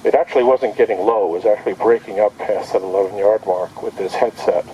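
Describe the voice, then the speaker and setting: A man with a fairly low, very mellow voice talks casually through a hands-on product test. He thinks aloud in short, step-by-step remarks. The recording is made outdoors, with faint noise behind him. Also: quick pace, rough recording. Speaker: product reviewer. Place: outdoor backyard.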